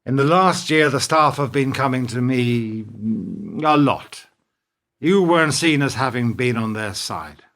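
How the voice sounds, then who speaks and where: An actor playing a weary, reproachful middle-aged business partner in a radio play, speaking in an earnest, measured way. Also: male voice, mid-range voice, expressive, creaky, fairly bright tone. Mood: quietly reproachful.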